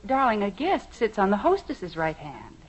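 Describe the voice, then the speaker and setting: Genteel American mom, husky lilting voice, soothing old-radio sitcom delivery. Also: female voice, mid-range voice, very animated, moderate pace. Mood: reassuring. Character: calm, reassuring middle-aged suburban mother. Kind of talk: 1950s radio sitcom acting. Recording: muffled vintage radio recording.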